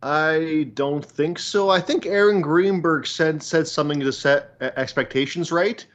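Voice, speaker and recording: A man's voice: on the high side, a little slow, highs cut off. A man on a gaming podcast panel, speaking enthusiastically in a conversational way. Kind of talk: casual chat.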